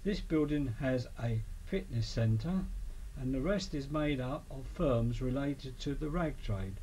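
Slow-paced English man narrating, noisy recording. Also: mid-range voice, animated, mellow. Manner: measured, listing names and dates. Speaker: narrator of a local history film. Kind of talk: scripted documentary narration. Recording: clearly noisy amateur voice-over recording.

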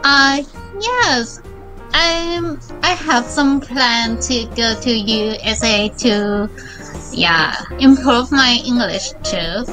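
Young Thai woman, bright, slow, giggly chat partner. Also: a little high, soft background music, low-quality recording. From Thailand. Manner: giggly, polite, learner's English.

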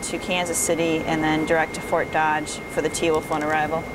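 A woman playing a methodical airline first officer, reading clearances and checklists in a procedural, halting way. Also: sits low, little pitch movement, close to an everyday voice. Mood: procedural, focused. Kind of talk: cockpit radio calls and checklist readout. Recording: noisy cockpit hum behind voice.

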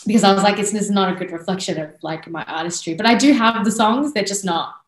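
A woman's voice: mid-range voice, fast talker, reverberant. A woman in her thirties with an Australian accent, chatting casually and animatedly as a guest on a video call. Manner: animated, frequent laughs and restarts. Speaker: guest singer-songwriter. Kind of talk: casual interview chat. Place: small room indoors. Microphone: headset on video call.

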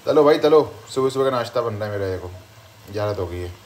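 Man, low voice, travel vlogger, casual playful chatter, faint background noise. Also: lively intonation, fast talker, a little mellow. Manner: playful, chatty, mixing in English words. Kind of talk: casual vlog chatter to viewers.

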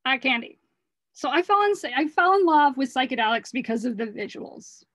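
A woman artist speaks over her slides in a slightly high, hoarse voice. She is earnest and rambling, restarting her phrases often. The sound is dry and close, with no room echo. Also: animated, moderate pace, a bit bright. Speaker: artist presenting her work. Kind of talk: casual talk over slides.

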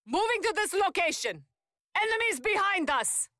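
An actress voicing a tough, confident, protective female soldier in short, forceful video game combat lines. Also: very high-pitched, big pitch swings, normal speed, no room sound, studio quality, heavily acted. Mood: urgent, commanding. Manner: short, forceful battle callouts.

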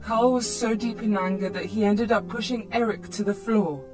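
A woman's voice: medium pitch, fairly even intonation, moderate pace, over music. A woman reading a scripted first-person story as narrator.

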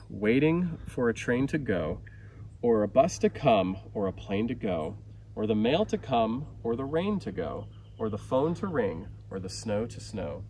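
Male storyteller for rhyming kids' book, mid-range voice, highly animated and dramatic, recorded outdoors with light ambient noise. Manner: expressive, theatrical storytelling with dramatic emphasis. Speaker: outdoor education naturalist reading a children's book aloud. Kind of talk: rhyming picture book read-aloud.

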